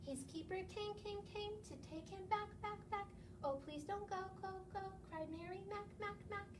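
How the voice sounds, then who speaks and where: A woman with an extremely high voice hosts a children's story time. She reads and chants rhymes, adding playful questions for her young listeners. The microphone sits at a distance, and noise is clearly audible behind her. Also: normal speed. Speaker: library story time host. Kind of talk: children's read-aloud with sing-along rhymes and asides to listeners.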